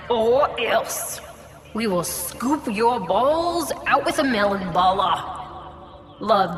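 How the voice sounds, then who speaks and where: Menacing female villain, acted by a woman, unhurried and deliberate, drenched in long reverb, comedy audio drama. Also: a little high, a bit bright. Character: spiteful woman reading out a threatening note.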